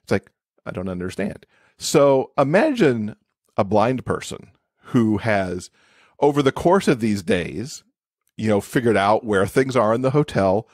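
A man's voice: fairly low pitch, lively intonation, unhurried, no echo, studio quality. A man being interviewed as an expert guest, explaining things conversationally with everyday examples. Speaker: podcast guest, accessibility expert and author.

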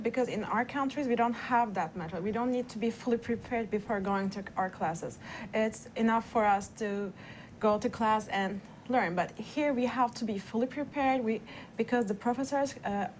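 A woman's voice: on the high side, darkish tone, slight background noise, low-quality recording. A young woman from Turkey, an international law student speaking earnestly as a panel guest.

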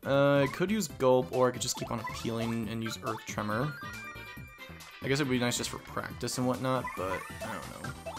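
A man's voice: mid-range voice, normal speed, music in the background. A young man casually commenting as he plays a game, rambling and self-mocking. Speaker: let's play host.

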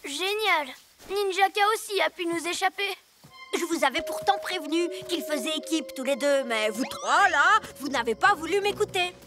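A woman's voice: very high-pitched, lively intonation, normal speed, pushed and bright, soft background music, heavily acted. A woman voicing an earnest, indignant young boy superhero who protests that he is misunderstood, in a French cartoon dub. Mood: hurt, indignant.

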